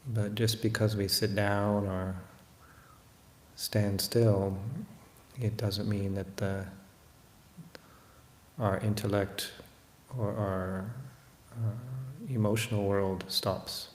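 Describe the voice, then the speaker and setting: A man giving a guided meditation talk as a Buddhist teacher, unhurried and hesitant, often pausing to reflect. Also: lowish voice, flat delivery. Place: room in a monastery. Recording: roomy echo, faint background noise.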